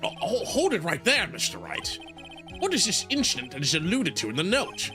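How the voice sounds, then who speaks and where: A man's voice: high-pitched, huge pitch swings, brisk, music in the background. A man voicing a fussy, flustered older male judge in a video game, calling for order.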